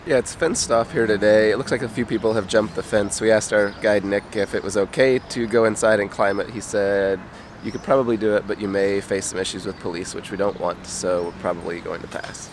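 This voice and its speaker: A man co-hosting a travel vlog, hoarse from a cold, narrating in an upbeat, enthusiastic way. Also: on the low side, fairly even intonation, fairly quick, slight background noise. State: hoarse, losing his voice. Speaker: travel vlogger co-host.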